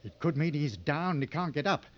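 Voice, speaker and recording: An actor playing a seasoned, coolly sardonic hunting guide in a mid-century radio drama, giving clipped, authoritative instructions. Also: male voice, on the high side, lively intonation, a little fast, lightly acted. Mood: calm, instructing. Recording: dull old radio recording, noisy.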